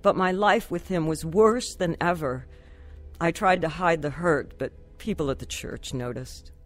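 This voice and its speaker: A woman's voice: deep voice, a little slow. A sorrowful young mother over music. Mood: regretful. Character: troubled wife and mother telling her life story, earnest, sorrowful. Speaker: lead actress narrating. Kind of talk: radio drama first-person narration.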